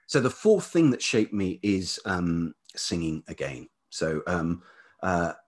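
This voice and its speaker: Low-voiced, husky older man, thoughtful. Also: very animated. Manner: hesitant, halting, thoughtful. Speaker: podcast guest, writer and musician. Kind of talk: reflective personal storytelling in an interview.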